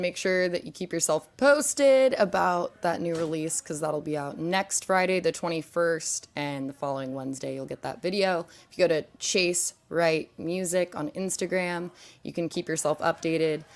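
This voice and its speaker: A young woman hosts a relaxed online chat with a lowish voice and plenty of gravelly fry. She talks in a chatty, upbeat way, sprinkling "like" and "um" through her questions. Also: moderate pace. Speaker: host. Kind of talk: casual live-stream hosting.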